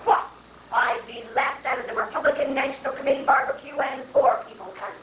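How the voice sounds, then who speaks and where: A folksy, anxious president acted by a woman blusters and wavers in a high, theatrical voice. The satirical stage reading is captured in an echoing room with clear background noise. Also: a little fast, lightly acted. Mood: exasperated. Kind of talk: dramatic reading of a satirical play. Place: roomy indoor live reading. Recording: roomy, noisy 1980s live recording.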